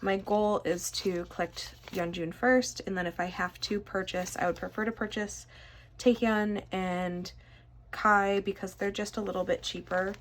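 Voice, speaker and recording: A young woman with a stuffy, congested voice talks through a casual vlog, rambling and poking fun at her own lack of organization. Her pitch sits in an ordinary middle range. A faint hiss of noise sits under the recording. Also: fairly even intonation, unhurried. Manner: rambling, self-deprecating, chatty. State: stuffy nose. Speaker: vlogger. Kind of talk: casual chat while sorting cards.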